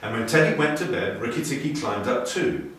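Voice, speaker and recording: A man reading a children's story aloud with theatrical expression and clear enunciation. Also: medium pitch, lively intonation, fairly quick, a bit bright. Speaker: storybook reader. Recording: very echoey room, distant mic.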